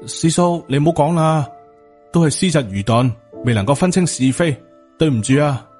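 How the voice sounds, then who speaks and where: A man's voice: a little high, lively intonation, moderate pace, a little mellow, faint music behind, pitched above the performer's own voice, lightly acted. A man with a Hong Kong Cantonese accent voicing a humble, anxious male disciple in an audiobook, apologetic and deferential.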